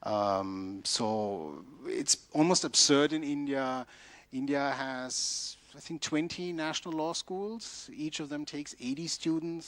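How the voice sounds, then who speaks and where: A man speaking unscripted in an academic discussion in a conference hall, halting as he thinks aloud. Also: mid-range voice, fairly bright tone.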